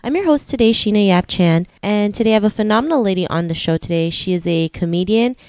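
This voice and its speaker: A woman's voice: medium pitch, animated, quick pace, darkish tone, no echo. A woman hosting an interview podcast, talking conversationally with frequent "you know" filler phrases. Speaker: podcast host.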